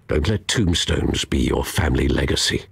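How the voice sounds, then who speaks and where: A man acting as a grim, brooding masked vigilante with a gravelly, menacing delivery in a video game. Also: a bass voice, normal speed, no echo, heavily acted. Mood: grim, solemn.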